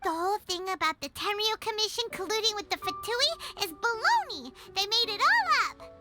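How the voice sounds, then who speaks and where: Brief: tiny fairy sidekick, very high, bouncy, swoops around, loud game music under it. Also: female voice, normal speed. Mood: worried. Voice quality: high squeaky childlike voice, animated. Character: tiny floating fairy girl companion, excitable and blunt. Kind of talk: video game voice acting.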